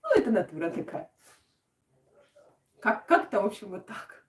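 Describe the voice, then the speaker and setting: A chatty woman from Odesa speaks with a soft southern Ukrainian g in her Russian. She hosts a relaxed live stream and answers her viewers like old friends. Her voice is mid-pitched and sounds roomy, recorded at some distance from the mic. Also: moderate pace. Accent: southern Ukrainian Russian, soft g and "sho". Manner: chatty and warm toward viewers, with frequent laughs and fillers. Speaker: host of a craft live stream. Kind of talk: casual live-stream chat, reading out viewer comments.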